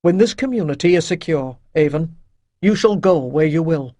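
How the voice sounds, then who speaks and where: Reader doing a sharp commanding sea captain, firm and clipped, dry sound. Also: medium pitch, animated, normal speed, no echo, close to an everyday voice. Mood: stern. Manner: clipped, authoritative. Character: commanding male ship captain, stern and protective.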